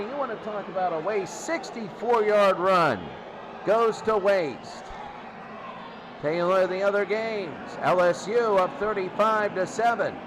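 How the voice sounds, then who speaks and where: A man's voice: high voice, noisy background. A man doing play-by-play football commentary in short, clipped calls, often repeating his phrases. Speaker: play-by-play announcer of a football game. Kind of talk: excitable sports play-by-play commentary.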